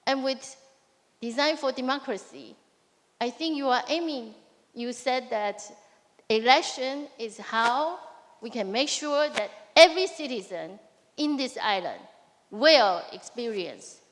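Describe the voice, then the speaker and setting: A woman moderating a live panel on stage, speaking haltingly with many fillers and restarts. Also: fairly high pitch, expressive. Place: large hall on stage. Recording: reverberant hall, faint music.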